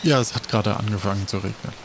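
A man's voice: fairly low pitch, fast talker, slight background noise. A man running an improvised role-playing game, switching between casual narration and character dialogue. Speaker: game master.

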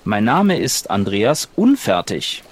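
A man's voice: fairly low pitch, very animated, slow pace. A man speaking casually as a guest and player in an improvised role-play podcast.

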